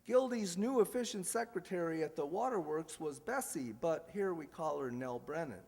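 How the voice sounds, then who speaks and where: Male radio-show announcer, high voice, playful ad-libber, reverberant hall recording. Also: expressive, moderate pace, darkish tone. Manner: jokey, ad-libbing. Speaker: announcer and host. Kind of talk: live old-time radio show announcing. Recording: echoey hall with background hiss.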